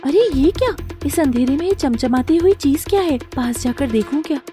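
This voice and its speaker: A woman's voice: high-pitched, animated, moderate pace, mellow, faint music behind. A woman voicing a poor, honest, kind-hearted young woman who speaks earnestly and emotionally.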